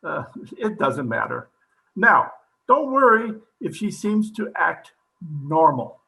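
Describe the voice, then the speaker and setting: A self-important, excitable radio-comedy doctor acted by a man. His theatrical voice is bright and forceful, sitting high and swinging widely as he pronounces. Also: slow pace, gravelly, heavily acted. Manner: pompous, theatrical. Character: pompous, overexcitable male psychiatrist in a radio comedy. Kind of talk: old-time radio comedy acting.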